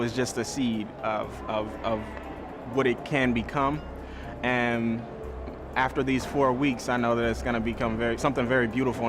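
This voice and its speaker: Young male student, mid-pitch, halting testimonial delivery, music bed. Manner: hesitant, searching for words, restarts. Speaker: student in a study abroad program. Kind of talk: reflective testimonial.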